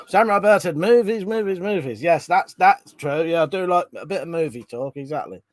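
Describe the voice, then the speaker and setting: Guy live streaming, bit stuffed up from a cold, slightly high voice, rambly chat with lots of ums, dry close mic. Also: animated, normal speed, dry recording. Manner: chatty, rambling, frequent ums and restarts. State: congested from a cold, coughing. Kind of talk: casual live chat reading out viewer comments.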